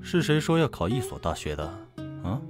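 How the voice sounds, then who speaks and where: A man's voice: medium pitch, very animated, fairly quick, lightly acted. A man playing a cocky, playful male student in an amateur audio drama, teasing as he talks. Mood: calm. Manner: teasing, swings from murmurs to loud calls. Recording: music behind the voice.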